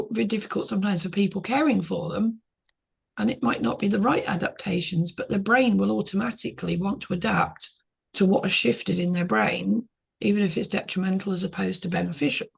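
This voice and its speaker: Woman podcast co-host, middle-aged, husky and gravelly, earnest reflective chat. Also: medium pitch. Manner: reflective, earnest, talks in short restarting phrases. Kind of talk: casual podcast conversation.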